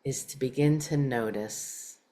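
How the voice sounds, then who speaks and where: A woman leading a guided meditation, speaking in short, measured phrases with pauses between them. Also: a bass voice, dark tone. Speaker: meditation teacher.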